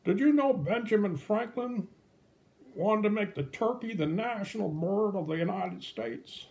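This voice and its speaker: A cheeky old turkey puppet, acted out by a man for a children's show. The voice sits high, well above normal talk, and it teases and wisecracks like a seasoned joker. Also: expressive, measured pace, gravelly, a little mellow, exaggerated voice. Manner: joking, teasing, punctuated with gobbles.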